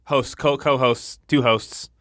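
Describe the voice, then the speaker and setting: A man's voice: mid-range voice, steady tone, takes it slowly, fairly bright tone, no room sound. A man co-hosting a podcast, chatting casually and making dry, sarcastic jokes. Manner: joking, dry sarcastic asides. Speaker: podcast co-host. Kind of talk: casual chat.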